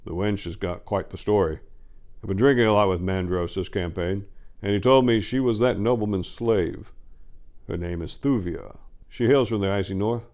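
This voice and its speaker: A man's voice: lowish voice, moderate pace, darkish tone, close to an everyday voice. A man voicing a warlord's gossiping drinking companion in a fantasy story, chatty and amused as he tells tales.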